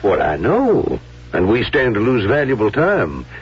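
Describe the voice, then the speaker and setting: An actor playing a cautious, weary older president in a radio drama, deliberate and statesmanlike, with a rough husky voice. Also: male voice, fairly low pitch, huge pitch swings, exaggerated voice. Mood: pondering, cautious. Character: weary, cautious older male Confederate president weighing risks. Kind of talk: 1970s radio drama acting. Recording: muffled vintage radio recording.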